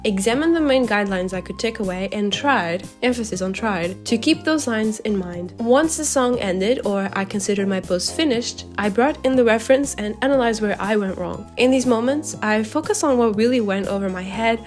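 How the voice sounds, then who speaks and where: A young woman narrating her art vlog in a scripted voice-over, sounding nasal from a cold, with a dry, self-mocking humor. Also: mid-range voice. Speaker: art vlogger. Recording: soft background music.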